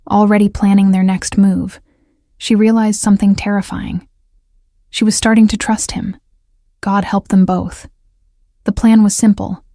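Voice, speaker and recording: A woman narrating a romance drama story in a measured, even manner. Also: medium pitch, no echo, studio quality.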